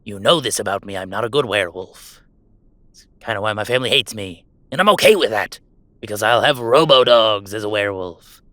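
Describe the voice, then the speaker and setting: A man's voice: on the low side, brisk, sharp tone, dry recording. A man playing a goofy young werewolf streamer in an intimate roleplay audio drama, talking in casual, mock-grandiose banter with small hesitations. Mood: playful, mock-grandiose. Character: goofy young male werewolf streamer, playful, defiant about family. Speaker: game streamer.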